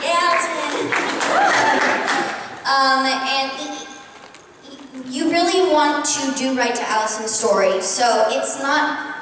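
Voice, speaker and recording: High-voiced young girl, earnest panelist. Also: slow pace, recorded from a distance. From Atlanta, Georgia, raised in New York. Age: teen. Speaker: child actress panelist. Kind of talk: panel answers. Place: large convention hall. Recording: echoey hall from afar, crowd noise. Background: crowd noise.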